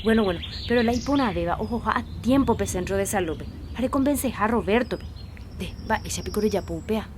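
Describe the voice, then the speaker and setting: A woman's voice: medium pitch, lively intonation, fast, noisy background, close to an everyday voice. A woman voicing a Paraguayan woman in a radio drama who persuades and scolds, with a Paraguayan accent. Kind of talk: radio drama acting in Jopara.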